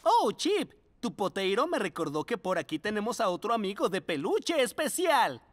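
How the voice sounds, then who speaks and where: A woman voicing a lively kind teacher. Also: medium pitch, normal speed, heavy background noise, natural voice. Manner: gentle, encouraging, sing-song. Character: kind, patient adult teacher. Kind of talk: cartoon dubbing. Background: music.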